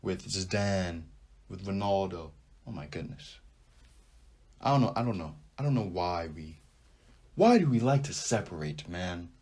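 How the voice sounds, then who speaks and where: A young man hosting a sports podcast, talking casually and rambling with animated asides as he thinks out loud. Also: sits low, unhurried, long pauses, slightly rough. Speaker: podcast host. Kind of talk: casual sports talk monologue.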